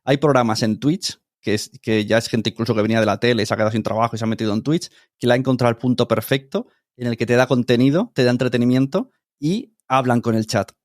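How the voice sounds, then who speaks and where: Male podcast guest, Spain Spanish accent, mid-pitched, casual talk, very dry sound. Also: fast talker, hardly any pauses, dry recording. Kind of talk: casual conversational interview.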